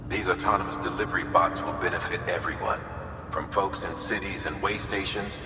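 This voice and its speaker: An actor playing a senior male commander who gives orders in a measured, kindly way, in a game cutscene. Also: sits low, steady tone, lightly acted. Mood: concerned. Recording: distant echoey hall with loud noise.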